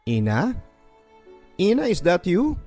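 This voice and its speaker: A man's voice: sits high, huge pitch swings, slow, a little mellow, faint music behind, voice pushed up, exaggerated voice. A man playing an easygoing, confident young male boss in a radio drama, casually mixing in English. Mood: friendly, inviting. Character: friendly, confident young male company boss in his early twenties.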